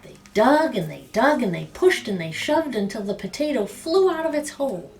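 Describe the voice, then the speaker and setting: Animated woman reading a story aloud. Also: fairly high pitch, moderate pace, slight background noise. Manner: dramatic, emphatic phrasing. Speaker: storyteller reading a picture book. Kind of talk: expressive read-aloud story narration.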